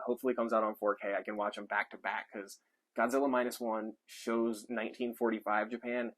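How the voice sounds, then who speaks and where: A man's voice: on the low side, fairly bright tone. A young man giving an enthusiastic, rambling movie review off the cuff, with frequent fillers. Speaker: film reviewer. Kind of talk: casual unscripted movie review.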